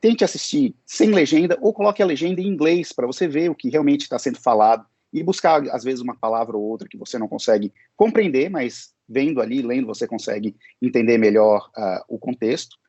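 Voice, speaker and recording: A man's voice: medium pitch, a little fast, few pauses, a little mellow, husky, no echo. A man teaching English, answering interview questions in a hesitant way, with many fillers and restarts.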